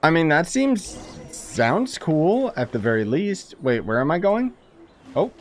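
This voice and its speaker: A man's voice: fairly high pitch, very animated, a little slow, soft background music. A young man giving casual, joking, sarcastic commentary while playing a video game. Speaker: gaming commentator. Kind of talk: casual let's-play commentary.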